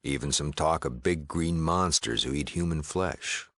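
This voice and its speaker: Gruff town leader, American accent, very low pitch, gravelly, dry close recording, laconic, wry, video game dialogue. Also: male voice, a little slow, a bit bright, no room sound, heavily acted. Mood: matter-of-fact. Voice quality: deep gravelly voice. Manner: laconic, plain-spoken, dry.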